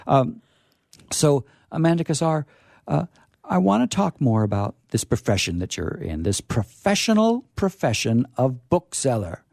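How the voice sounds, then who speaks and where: A man hosting a casual on-air interview in a chatty, halting, wordy way, with playfully grandiose touches. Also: mid-range voice, very animated, vocal fry, a little mellow, dry recording, clean studio sound. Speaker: radio host. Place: radio studio.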